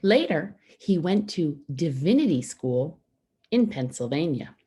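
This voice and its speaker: A woman reading a children's picture book aloud, phrasing it simply and deliberately with pauses for young listeners. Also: sits low, sing-song, warm tone, slightly rough. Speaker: storybook reader for schoolchildren.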